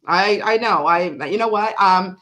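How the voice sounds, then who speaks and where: A woman's voice: medium pitch, unhurried, fairly bright tone. Chatty woman with American-Canadian accent. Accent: mixed American and Canadian. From Canada, raised in South Florida. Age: 40s. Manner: rambling, chatty, many fillers and restarts. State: tired. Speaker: livestream host. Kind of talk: casual chat reading live comments.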